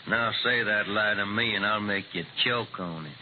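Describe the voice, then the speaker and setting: An actor playing a hostile, short-tempered frontier vigilante in a 1950s radio western. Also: male voice, fairly low pitch, normal speed, close to an everyday voice. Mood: menacing. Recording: noisy, muffled vintage radio recording.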